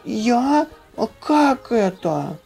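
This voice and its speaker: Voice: mid-range voice, big pitch swings, extremely slow, vocal fry, darkish tone, faint music behind, a faint crowd, pitched above the performer's own voice, full character voice. A storybook reader voicing a small, timid puppy that whimpers and squeals naively. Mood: confused, tearful. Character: small frightened whining puppy, naive and apologetic. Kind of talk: children's storybook reading.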